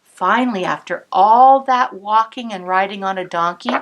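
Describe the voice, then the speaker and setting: A woman cantor reading a picture book aloud to children, pausing to explain the pictures, sometimes dropping to a whisper. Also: medium pitch. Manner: slow, expressive storybook reading with soft whispered asides. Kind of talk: read-aloud storytime with asides to kids.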